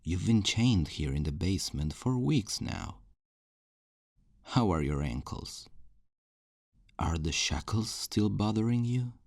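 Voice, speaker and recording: A man's voice: low-pitched, expressive, slow pace, vocal fry, pauses often, a little mellow, dry recording, studio quality, heavily acted. A man playing a possessive, self-righteous kidnapper in an ASMR roleplay, speaking in a condescending, coaxing, menacingly tender way. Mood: smug, coaxing. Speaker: audio roleplay performer.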